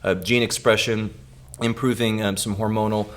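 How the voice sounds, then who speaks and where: A man co-hosting a podcast, telling a patient story in a halting way with many repeated words. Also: lowish voice, flat delivery. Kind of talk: casual clinical storytelling.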